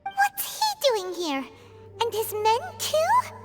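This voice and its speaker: A woman voicing a small, childlike, excitable female companion creature in a video game, squeaky and exclamatory. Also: takes it slowly, heavily acted. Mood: incredulous. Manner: exclamatory, refers to self in third person. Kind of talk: video game character acting. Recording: music playing behind.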